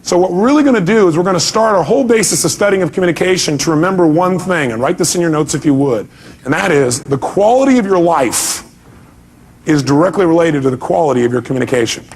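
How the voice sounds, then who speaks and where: A man's voice: on the high side, expressive, fast. A man speaking as a motivational seminar speaker, emphatic, putting rhetorical questions to his audience and often answering them himself.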